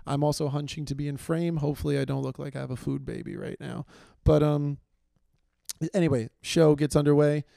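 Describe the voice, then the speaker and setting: A man's voice: a little high, steady tone, fairly quick, gravelly, warm tone, no echo, studio quality. A young man hosting a solo comedy podcast, rambling and self-deprecating with lots of fillers and restarts. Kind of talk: casual solo comedy monologue. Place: small room, filmed on video.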